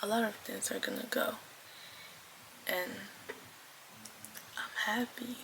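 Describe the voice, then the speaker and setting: A woman's voice: medium pitch, fairly even intonation, takes it slowly, vocal fry, noisy background. A woman in her early twenties chatting casually and directly to viewers in a personal vlog. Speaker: vlogger. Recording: music behind the voice. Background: background music.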